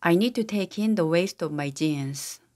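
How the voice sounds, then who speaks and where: A synthetic woman's voice reading English practice sentences for a language lesson, in an even, steady delivery. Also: on the low side, moderate pace. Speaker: language teacher voice. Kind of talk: scripted English drill sentences.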